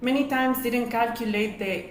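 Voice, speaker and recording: A woman's voice: a little high, fairly even intonation, moderate pace. A middle-aged woman presenting an explanatory webinar, often asking rhetorical questions and answering them herself. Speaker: webinar host and real estate agent. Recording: echoey room with faint noise.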